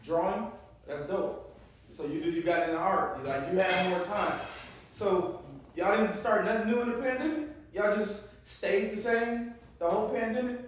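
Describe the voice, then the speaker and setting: A man's voice: high-pitched, moderate pace, a little hiss or hum. A man in his forties from Detroit, giving a casual, slangy talk to a youth group and calling on the crowd. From Detroit, Michigan. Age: 40s. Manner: conversational, calls on the crowd, slangy. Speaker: youth speaker. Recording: echoey room, distant mic.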